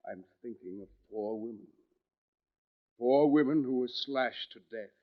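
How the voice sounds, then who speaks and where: An actor playing a brooding, tormented husband, both menacing and tender, with intense, halting melodrama in 1940s radio mystery style. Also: male voice, mid-range voice, natural voice. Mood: guarded menace. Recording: dull old radio recording.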